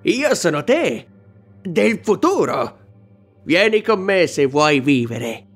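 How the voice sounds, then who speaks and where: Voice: mid-range voice, big pitch swings, slow, husky, faint music behind, full character voice. A performer voicing a sardonic, boastful, battle-worn animatronic rabbit in a melodramatic, mocking cartoon dub. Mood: grim.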